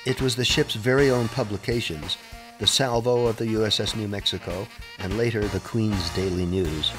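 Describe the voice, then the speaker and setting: Male narrator, low register, scripted history documentary read, prominent music underneath. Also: normal speed, low-quality recording.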